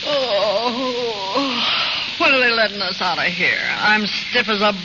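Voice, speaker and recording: A woman's voice: medium pitch, slow, natural voice. An American actress playing a resigned woman crook in a 1930s radio drama. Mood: weary. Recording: very noisy, muffled old radio recording.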